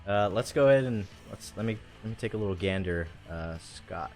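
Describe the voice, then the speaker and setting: A man's voice: fairly low pitch, expressive, fairly quick, darkish tone, faint music behind. A man hosting a casual, rambling chat show, talking in an informal, slangy way. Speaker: show host. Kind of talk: casual chat about video game movies.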